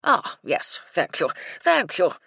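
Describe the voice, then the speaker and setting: Woman putting on a tipsy old colonel for an audiobook: loud, clipped, swooping, husky. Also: lowish voice, huge pitch swings, slow pace, no echo, clean studio sound, heavily acted. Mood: blustering. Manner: bluff, clipped, hearty old-fashioned slang. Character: blustering old male English colonel, tipsy. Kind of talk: audiobook character voice.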